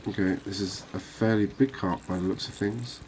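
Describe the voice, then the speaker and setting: A man giving relaxed, unhurried, musing ASMR-style gameplay commentary, chatting to his viewers. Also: lowish voice, slight background noise. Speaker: host of a cozy fishing game channel.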